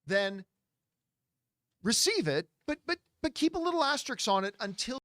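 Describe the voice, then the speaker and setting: A man's voice: extremely high pitch, very animated, moderate pace, a bit bright, no echo, studio quality. A man talking to viewers as an entertainment news commentator, retelling conversations in an emphatic, dramatic way. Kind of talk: animated storytelling talk to viewers.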